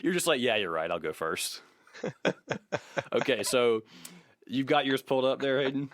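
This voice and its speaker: A man's voice: mid-range voice, lively intonation, a little slow, a bit bright, dry recording, clean studio sound. A man co-hosting a podcast in casual, conversational talk. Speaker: podcast co-host.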